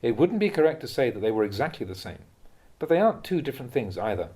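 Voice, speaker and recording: A man with a mid-pitched voice reads spiritual teachings in the style of an audiobook. His delivery is measured and instructive, and each phrase is clearly set out. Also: sing-song, mellow. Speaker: reader of translated Buddhist teachings.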